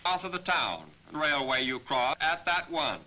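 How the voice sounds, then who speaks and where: A man narrating a scripted military training film as a briefing, in a measured, directive manner, on a 1940s film soundtrack. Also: fairly high pitch, animated, pushed and bright, a little hiss or hum, poor audio. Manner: measured, directive, addressing aircrews. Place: voice-over recording for a 1943 film.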